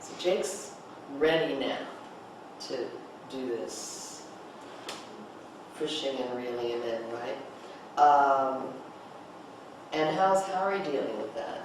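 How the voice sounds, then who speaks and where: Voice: mid-range voice, takes it slowly, pauses often. A teacher leading a table read, prompting a group with questions and repeating their answers back. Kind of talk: discussion, questioning and instructing a class. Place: echoey indoor room, recorded from a distance. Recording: echoey room, far mic, clear noise.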